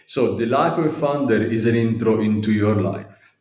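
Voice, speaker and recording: A man's voice: lowish voice, normal speed, a little mellow. A man hosting a podcast interview, asking questions in a polite, formal, thankful manner. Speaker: podcast host. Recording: echoey room.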